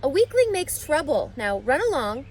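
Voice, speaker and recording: A woman reading aloud, voicing a calm, patient farmer father who speaks gently. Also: sits high, slight background noise, voice pushed up. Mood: gentle. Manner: gentle, measured.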